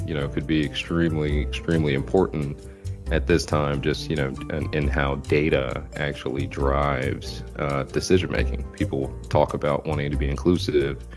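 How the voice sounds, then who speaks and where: A man hosting a casual interview, relaxed and loose, with lots of "uh" and "you know" fillers. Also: extremely low pitch. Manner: relaxed, many fillers and restarts. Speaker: host.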